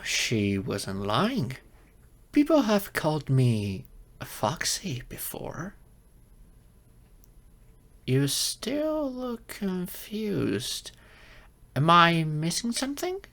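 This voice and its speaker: A man's voice: fairly high pitch, wildly swooping pitch, drawn-out words, pauses often, a bit bright, heavily acted. A man voicing a geeky young silver fox kitsune in an ASMR roleplay, flustered, hesitant and earnest, with slightly formal wording. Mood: puzzled, worried. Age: young adult.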